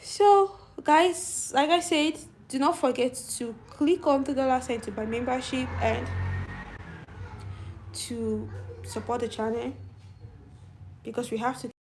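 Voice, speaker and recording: A woman's voice: high voice, a little slow, pauses often, darkish tone, slight background noise. A middle-aged woman with a young-sounding voice hosting a casual live stream, chatting and answering viewer comments. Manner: youthful-sounding voice. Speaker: channel host.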